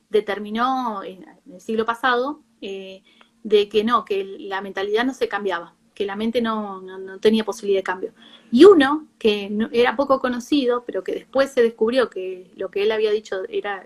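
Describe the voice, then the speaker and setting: A woman psychologist with an Argentine accent, explaining informally in a live talk with a halting, self-rephrasing delivery. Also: medium pitch. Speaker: psychologist, guest speaker.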